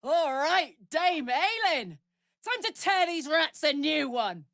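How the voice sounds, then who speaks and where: A woman's voice: high voice, big pitch swings, takes it slowly, a bit bright, dry recording, clean studio sound, full character voice. A woman voicing a brash, big-hearted, hot-tempered warrior in a fantasy video game, speaking bluntly, informally and with open emotion. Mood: fired up.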